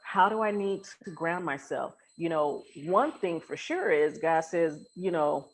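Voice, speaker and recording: A woman's voice: deep voice, lively intonation, a little slow. A woman hosting a casual, faith-centred podcast conversation in an informal, emphatic, colloquial way. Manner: informal, emphatic, uses everyday colloquial phrasing. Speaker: podcast host.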